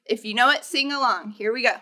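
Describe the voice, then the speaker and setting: A young American woman, a children's librarian, leading storytime in an animated, encouraging way, talking directly to small children. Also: a little high, fast talker, a little hiss or hum. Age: young adult. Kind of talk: children's storytime presentation and read-aloud. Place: indoors in a library, seated before bookshelves.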